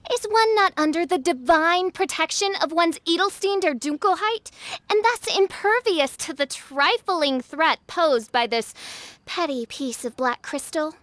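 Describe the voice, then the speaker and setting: A woman's voice: very high-pitched, lively intonation, measured pace, a bit bright, dry recording, heavily acted. A woman voicing a grandiose young self-proclaimed princess who speaks in theatrical, archaic phrases. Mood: haughty. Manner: theatrical, archaic and pompous speech. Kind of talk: video game voice acting.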